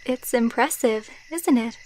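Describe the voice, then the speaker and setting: Woman playing a slow, soft-spoken, wistful vampire girlfriend. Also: fairly high pitch, close to an everyday voice. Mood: calm, reflective. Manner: soft, intimate, unhurried, sentimental. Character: tender, wistful immortal female vampire girlfriend. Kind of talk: ASMR roleplay.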